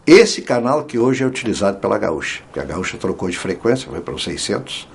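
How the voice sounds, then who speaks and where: Older man reminiscing in a husky voice. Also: on the low side, very animated, quick pace. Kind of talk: interview recollection.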